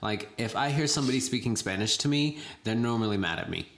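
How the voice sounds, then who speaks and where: A young woman in casual chat, lively and giggly, rambling loosely through her points. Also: a bass voice, quick pace, vocal fry.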